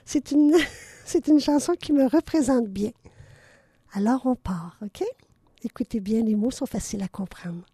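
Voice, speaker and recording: An older woman with a Canadian French accent speaks in a high, mellow voice, effusive and affectionate, often breaking into laughter. She hosts a radio show from a dry-sounding studio. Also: unhurried, breathy edge, dry recording. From Ontario, Canada. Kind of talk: casual radio chat.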